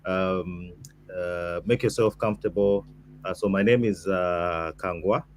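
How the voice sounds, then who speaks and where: A man from Zambia leading a mock interview panel, talking in a relaxed, hesitant way with many fillers. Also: deep voice, a little slow, a little hiss or hum.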